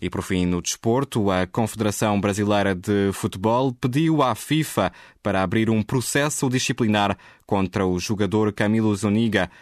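A man reading scripted radio news in a steady, measured way. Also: fairly low pitch, dry recording, studio quality. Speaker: newsreader.

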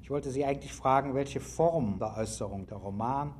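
A man's voice: medium pitch, a little fast, a little mellow. A man putting formal, polite questions to a guest as an interviewer. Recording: noticeable background noise, old interview recording.

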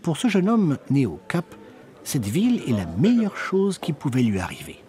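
A man's voice: a little high, wildly swooping pitch, moderate pace, warm tone. A man narrating a reportage from a prepared script. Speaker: documentary narrator.

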